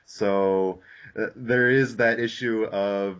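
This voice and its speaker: A young man with a fairly low voice hosts a casual podcast, feeling his way through each thought with lots of "uh"s and restarts. The audio is dull and lo-fi, like a home mic. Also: fairly even intonation, fairly bright tone, clean tone. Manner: halting, many fillers and restarts. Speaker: podcast host.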